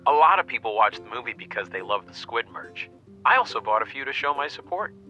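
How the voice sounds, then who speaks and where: Sharp, bright, animated chatter. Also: male voice, medium pitch, a little fast, soft background music. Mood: earnest. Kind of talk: game audio drama acting. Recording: dull, band-limited sound.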